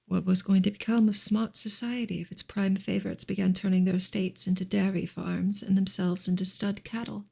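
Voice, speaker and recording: Breathy woman softly reading in ASMR style. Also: medium pitch, level tone, fairly quick, few pauses. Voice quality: breathy, often whispered. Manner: hushed, gentle delivery. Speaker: host reading a novel aloud. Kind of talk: soft ASMR audiobook reading.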